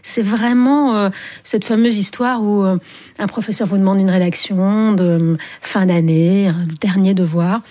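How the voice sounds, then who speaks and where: A woman in her fifties from Algeria speaks as an interview guest, her voice warm and relaxed rather than bright. She talks in a reflective, unhurried way, stopping often on soft hesitations as she gathers her thoughts. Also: medium pitch. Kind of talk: reflective personal storytelling in an interview.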